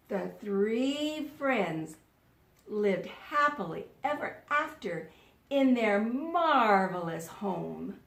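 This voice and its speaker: High, animated woman teacher reading aloud. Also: creaky. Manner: expressive storytelling, questioning listeners. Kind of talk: children's read-aloud lesson with questions. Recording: roomy, echoey sound.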